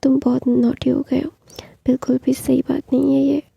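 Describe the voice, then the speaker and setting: A woman voicing a tender, caring young man, in a soft whisper. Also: sits high, flat delivery, moderate pace, creaky, breathy edge, no room sound, heavily acted.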